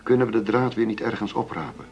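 An actor playing a middle-aged police chief going undercover in a radio play, persuasive and matter-of-fact. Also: male voice, fairly low pitch, fast, creaky, faint music behind. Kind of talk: Dutch radio play acting. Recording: dull lo-fi radio play recording.

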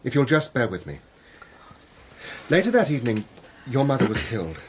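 Earnest visiting scientist for radio play, male actor: hesitant, polite, expressive low-set voice, slight noise. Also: moderate pace, close to an everyday voice. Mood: grave, determined. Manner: hesitant, apologetic, painstakingly polite. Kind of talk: radio drama acting. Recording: faint background noise.

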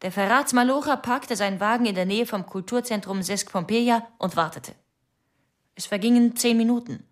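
An actress playing a tense, suspicious wife, narrating a radio drama in clipped, insistent fragments. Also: female voice, on the high side, moderate pace, dry recording, clean studio sound, close to an everyday voice. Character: tense, suspicious wife convinced her husband kills.

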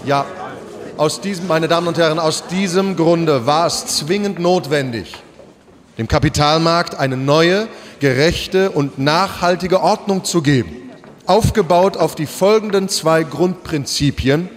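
An actor playing a solemn bank president in a radio drama, giving a stately, formal ceremonial speech. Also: male voice, on the high side, unhurried, natural voice. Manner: oratorical, stately, addresses audience formally.

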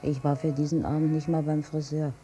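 A woman's voice: low-pitched, little pitch movement, fairly quick, warm tone, faint music behind, lightly acted. A woman playing a long-suffering older mother who speaks plaintively in a German radio drama. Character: long-suffering mother longing for a cosy family evening.

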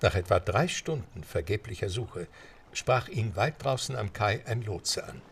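Gravelly low guy narrating a radio play story, bit off the mic, music underneath. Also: expressive, a little slow, distant mic, slight background noise. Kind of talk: scripted storytelling narration for audio drama.

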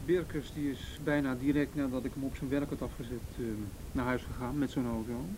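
A man playing a matter-of-fact policeman giving a measured, naturalistic report in a radio drama. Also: medium pitch, flat delivery, background noise, close to an everyday voice.

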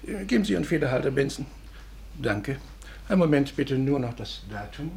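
A pompous, deluded old gentleman. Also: medium pitch, sing-song, a little fast, vocal fry. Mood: gracious, self-important. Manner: grandiose, formal, slightly scatterbrained. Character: pompous, delusional elderly gentleman with grand secret schemes. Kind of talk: 1950s German radio drama acting. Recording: noisy 1950s radio recording.

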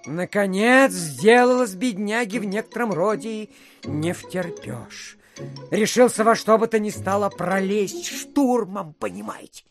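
Chatty provincial official, theatrical storytelling, folksy, rambling, audio drama, faint music. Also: male voice, high voice, unhurried. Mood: animated, ironic. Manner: folksy, rambling, peppered with filler phrases.

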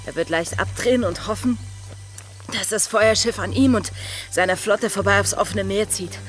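A woman's voice: mid-range voice, moderate pace, natural voice. An actress playing a daring young female pirate who passes as a lad, in a German radio play. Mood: excited, scheming. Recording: music behind the voice.

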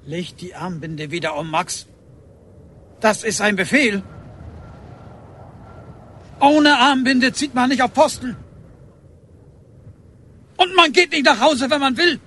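A man's voice: extremely high pitch, lively intonation, slow, long pauses, bright, forceful tone, a little hiss or hum, full character voice. An actor playing a rigid, duty-bound village policeman in a radio drama, stern and commanding.

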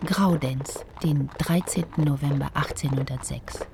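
An actress playing a stern announcer who states dates, places and public notices in a clipped, official way in a radio play. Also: female voice, sits low, steady tone, measured pace, gravelly, background noise. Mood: neutral, announcing.

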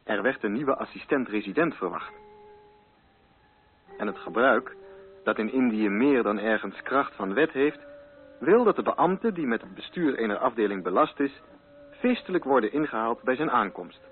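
A man's voice: mid-range voice, lively intonation, normal speed, faint music behind. A man reading scripted literary narration for a radio play. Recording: narrow-band old radio recording.

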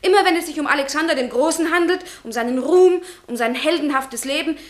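An actress playing a passionate, defiant young student in a radio play, fervent, emphatic and teasing. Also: female voice, sits high, brisk, lightly acted. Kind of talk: radio drama acting.

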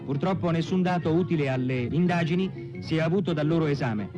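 A man's voice: a little high, brisk. A man reading scripted narration as an old-time newsreel announcer, in a formal, declamatory manner. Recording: dull archival newsreel soundtrack with music.

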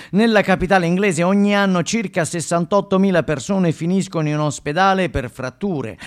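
A man's voice: on the high side, natural voice. A man playing a bumbling, upbeat TV news anchor in a stage monologue, announcing emphatically with repeats and stumbles. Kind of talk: mock TV newscast in a stage monologue.

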